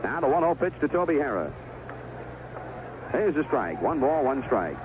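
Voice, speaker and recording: A man's voice: mid-range voice, sing-song, moderate pace, dull audio, background noise. A man calling a baseball game play by play on a 1970s radio broadcast. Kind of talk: live radio baseball commentary.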